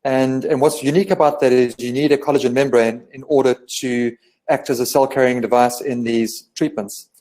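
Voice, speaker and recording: A man explains his company's work over a video call at an even middle pitch. He talks fluently but fills in with ums and restarts as he goes. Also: fairly quick, poor audio. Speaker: guest from a medical company.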